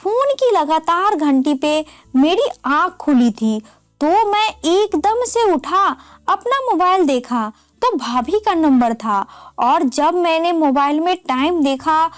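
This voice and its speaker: A woman's voice: sits high, animated, takes it slowly, dry recording. A woman narrating a scripted, emotional first-person family story in a dramatic storytelling manner.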